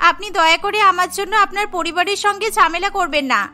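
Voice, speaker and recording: A woman's voice: very high-pitched, fairly even intonation, fast talker, pushed and bright. A woman voicing a meek, kind village girl in a cartoon story, polite and pleading. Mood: meek, reassuring. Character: meek, kind orphaned village girl, self-effacing helper. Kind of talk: cartoon character acting.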